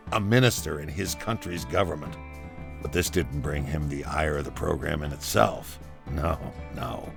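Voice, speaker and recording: A gravelly, low-voiced sardonic rebel storyteller. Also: male voice, fairly bright tone, heavily acted. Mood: wry. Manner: sardonic, conversational, theatrical. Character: wry, foul-mouthed former butcher, a rebel ex-convict storyteller. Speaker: narrator of an audio drama. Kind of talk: wry first-person storytelling in an audio drama.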